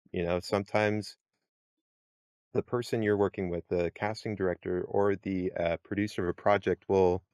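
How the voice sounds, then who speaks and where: Chatty podcast host man, low voice. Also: no echo, clean studio sound. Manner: relaxed, chatty, laughs often. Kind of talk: casual podcast chat.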